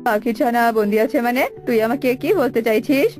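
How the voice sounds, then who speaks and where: A woman voicing a calm, authoritative male tantric sage in a children's fairy-tale cartoon, commanding in manner. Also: on the high side, normal speed, faint music behind, natural voice. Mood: puzzled questioning. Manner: commanding, addressing others as child.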